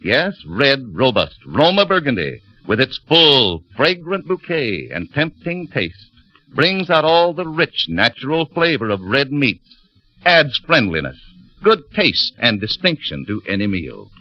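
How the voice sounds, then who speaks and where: A man's voice: medium pitch, big pitch swings, measured pace. A man announcing on 1940s radio with a polished, emphatic sponsor's delivery. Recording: dull vintage radio broadcast, faint music.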